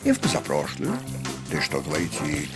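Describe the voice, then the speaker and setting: Cartoon: easygoing old bear, deep, homely, lively pitch. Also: male voice, fairly quick, gravelly, over loud music, full character voice. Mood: content. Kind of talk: cartoon voice acting.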